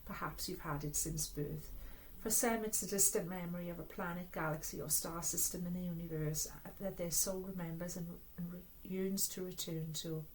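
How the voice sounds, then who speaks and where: A woman's voice: fairly low pitch, steady tone, normal speed, few pauses, a little hiss or hum, poor audio. A woman with a Welsh accent, giving an earnest, rambling spiritual reading in a casual, reflective way. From Wales. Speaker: spiritual reader. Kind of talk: casual spiritual talk to camera.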